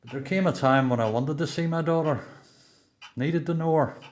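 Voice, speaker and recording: A man's voice: on the high side, normal speed, close to an everyday voice. A man with a Northern Irish accent playing a reflective, plain-spoken, regretful long-term prisoner in a radio play. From Belfast, Northern Ireland. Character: reflective seasoned male prisoner, regretful absent father. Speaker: amateur performer in a radio play. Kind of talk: radio play dialogue and monologue. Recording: echoey room.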